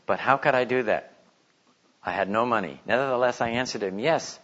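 A man's voice: medium pitch, a little fast, fairly bright tone. Man teaching, slightly dull recording. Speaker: Bible teacher giving a talk. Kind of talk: informal religious teaching with stories and a read-aloud testimony.

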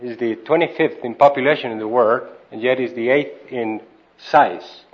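A man raised in Colombia speaking at a conference, plain and unhurried with dry humor. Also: mid-range voice. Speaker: missionary speaker. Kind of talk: conference talk. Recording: dull lo-fi recording.

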